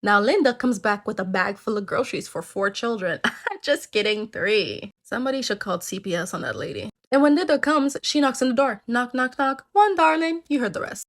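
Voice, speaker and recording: A woman's voice: mid-range voice, very animated, fairly quick. A woman telling folktales in a casual, chatty way, tossing in joking asides to listeners. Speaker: storyteller.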